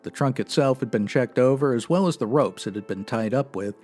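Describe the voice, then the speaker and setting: Male actor, by-the-book old-time radio detective, man, deadpan, clipped, formal, medium pitch, faint music. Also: a little fast, lightly acted. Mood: matter-of-fact. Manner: deadpan, formal, matter-of-fact. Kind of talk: 1950s radio detective drama parody, clipped narration.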